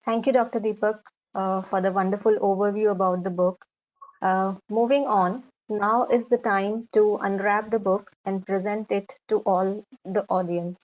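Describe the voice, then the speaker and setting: A woman's voice: mid-range voice, fairly even intonation, normal speed, a little mellow. A woman hosting a formal ceremony, announcing the next part of the event. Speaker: event host. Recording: slightly dull online call audio.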